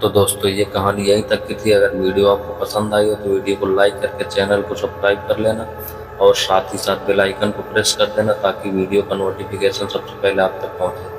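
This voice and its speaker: A man's voice: on the low side, completely flat delivery, brisk. A man speaking as a channel host in a scripted address to viewers. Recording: echoey distant voice under loud music. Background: loud music.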